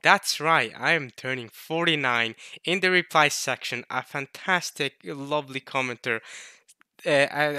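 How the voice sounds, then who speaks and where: A man in his late teens chatting casually to viewers as a video host, jokey and animated, repeating words in bursts as he rambles. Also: medium pitch, clear, resonant tone, dry recording, clean studio sound. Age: late teens, turning twenty. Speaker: host of a video series reading a visual novel.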